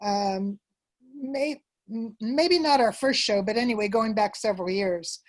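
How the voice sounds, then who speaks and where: A woman answering questions as a theater group's presenter, in an informal, casual interview style. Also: medium pitch. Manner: unhurried, informal.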